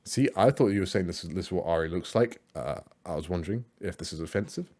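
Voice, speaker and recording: A man's voice: sits low, quick pace, darkish tone. A man giving casual, rambling reaction commentary on other creators' videos, with many fillers and restarts.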